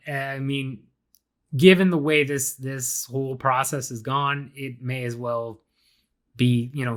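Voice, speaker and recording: A young adult man hosting a solo podcast, talking casually with lots of fillers and restarts, his voice a bit raspy from being ill. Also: mid-range voice, a bit bright. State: slightly raspy from illness. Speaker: podcast host.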